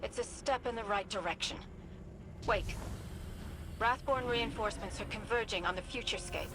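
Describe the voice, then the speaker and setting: A woman's voice: mid-range voice, moderate pace, noisy background, lightly acted. A woman playing a stern, sceptical warrior-scholar in a video game, with a serious and terse delivery. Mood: urgent. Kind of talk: video game dramatic acting.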